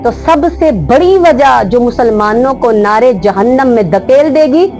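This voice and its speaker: A woman's voice: on the high side, expressive, unhurried, a little mellow, soft background music. A woman Islamic teacher giving a religious lecture, stacking repeated parallel phrases and asking rhetorical questions.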